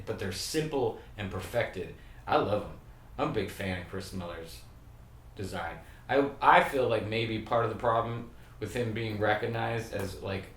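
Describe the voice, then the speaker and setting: A middle-aged man hosting a show and talking casually to camera about skateboard graphics, relaxed and rambling, with many "like" fillers. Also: fairly low pitch, distant mic. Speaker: host breaking down skateboard graphics. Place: small room indoors. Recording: roomy sound, faint room hum.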